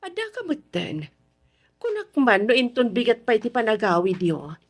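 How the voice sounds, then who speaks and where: A doting, animated middle-aged mother. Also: fairly high pitch, moderate pace, heavily acted. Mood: wistful, fond. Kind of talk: Filipino radio drama acting.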